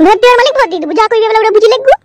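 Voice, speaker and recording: A man voicing a shrill, complaining but practical village wife in a comic cartoon. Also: racing, no room sound, clean studio sound. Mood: pleading. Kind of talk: fast comic cartoon dialogue.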